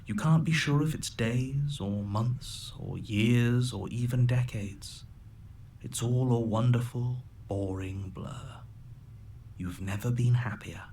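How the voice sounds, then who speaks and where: An actor playing a calm, manipulative game narrator who tells the story in a measured, hushed, soothing way. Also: male voice, medium pitch. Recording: game audio with background noise.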